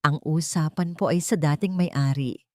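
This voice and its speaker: A woman's voice: deep voice, unhurried, warm tone, no room sound, studio quality. A woman voicing a hard, unfeeling male corporate lawyer in a storytelling narration, stern, cold and formal.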